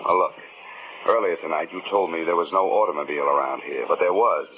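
A man's voice: deep voice, a little fast, close to an everyday voice. An American man playing a tough, sardonic, wisecracking insurance investigator in a 1950s radio drama. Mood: insistent. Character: hard-boiled, wisecracking male insurance investigator. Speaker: lead actor and narrator in a radio detective drama. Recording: muffled, noisy 1950s radio recording.

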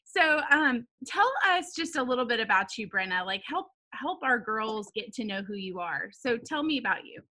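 A woman, hosting a ministry podcast in a casual chat, laughing often and filling pauses with "um" and "like.". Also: a little high, lively intonation, pushed and bright. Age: 30s. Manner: bubbly, laughs often, fillers like "um" and "like". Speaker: podcast host, founder of a girls' ministry. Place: home, talking over a video call.

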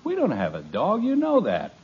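A man's voice: on the high side, wildly swooping pitch, moderate pace. A man playing an exasperated American suburban father in a 1950s radio sitcom, middle-aged, with dry sarcasm. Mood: bewildered, exasperated. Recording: noisy, dull old radio recording.

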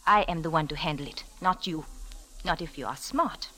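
A woman's voice: low-pitched, normal speed, sharp tone. An actress playing a cool, scheming mystery woman, formal and commanding, in 1940s radio style. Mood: cool, haughty.

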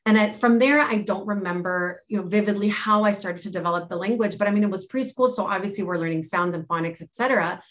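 A woman's voice: mid-range voice, fast, hardly any pauses. A woman from Los Angeles, a life and health mentor, reflectively telling her own story in a podcast interview. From Los Angeles, United States.

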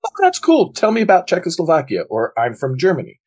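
A husky-voiced man from the United States chats on a podcast. His pitch swings widely as he tells stories with open curiosity. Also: quick pace. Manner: curious, enthusiastic storytelling with animated swings. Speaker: podcast co-host. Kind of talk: casual conversation.

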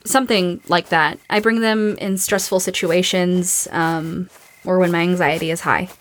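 A woman's voice: fairly low pitch, fairly even intonation, a little slow, close to an everyday voice. A woman acting a tough, blunt young survivor with anxiety underneath, in a naturalistic audio drama. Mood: firm. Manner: blunt, no-nonsense.

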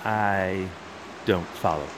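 A man's voice: low voice, slow pace, noisy background. A man playing a persistent, questioning outsider at a border wall in a one-act audio play, challenging whoever he talks to. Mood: skeptical.

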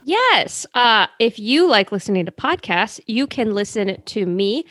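A woman guest on a comedy podcast, talking quickly with restarts and jokes. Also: mid-range voice, very animated, a bit bright, dry recording, clean studio sound. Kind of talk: casual chat.

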